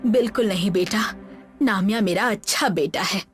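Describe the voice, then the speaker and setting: A woman's voice: mid-range voice, normal speed, faint music behind, natural voice. A woman voicing a protective, fearful old village grandmother in a horror story, with a solemn maternal way of telling. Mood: fearful, resigned.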